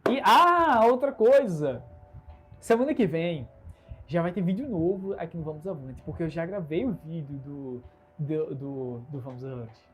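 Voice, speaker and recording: A man's voice: on the high side, animated, a little slow. A man in his twenties with a Recife accent, chatting casually and earnestly to his audience in a solo livestream from his bedroom. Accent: Recife Brazilian Portuguese. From Recife, Brazil. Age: 20s. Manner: earnest, upbeat, self-assured, gestures a lot. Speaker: entrepreneur hosting his own channel. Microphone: smartphone camera.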